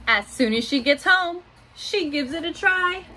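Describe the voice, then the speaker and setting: A woman in her thirties reading a children's picture book aloud in simple, deliberate phrases, adding little asides for young listeners. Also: high voice, expressive, bright, forceful tone. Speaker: school staff member reading aloud to pupils. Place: small home office room, indoors. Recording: noticeable room noise behind voice.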